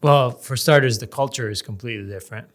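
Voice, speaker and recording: A man from Canada, a guest in a casual interview, talking in a measured way and often pausing to search for words. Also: medium pitch, fairly bright tone. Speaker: podcast guest, real estate developer.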